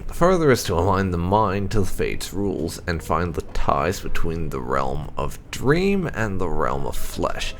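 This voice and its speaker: A man voicing a coldly sinister, self-assured blood mage scholar, declaiming gravely from a fantasy game text. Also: sits low, big pitch swings, measured pace, hardly any pauses, a little hiss or hum, full character voice.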